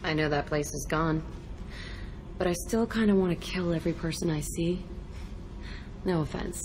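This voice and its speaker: Woman acting hardened angry ex-prisoner, low echoey voice. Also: moderate pace, natural voice. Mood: guarded, wry. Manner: blunt, profane, defiant. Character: hardened, foul-mouthed young female biotic ex-prisoner, angry and wounded. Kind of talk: video game cutscene acting. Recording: echoey hall, clear background noise.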